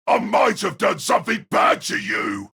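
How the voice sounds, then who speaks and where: A man acts out a brusque young male fighter from an audio drama. His voice is very husky with a gravelly edge. He barks his words forcefully, like someone used to giving orders. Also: high voice, wildly swooping pitch, unhurried, heavily acted. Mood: stern warning. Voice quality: raspy, gravelly. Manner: forceful, urgent, barking. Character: brusque, commanding young male fighter, wounded but composed.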